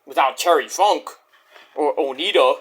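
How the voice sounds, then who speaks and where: A man's voice: a little high, unhurried, fairly bright tone, breathy edge. A middle-aged man with a Brooklyn New York accent, rambling boastfully through a casual wrestling review monologue. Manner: boastful, rambling, restarts and fillers.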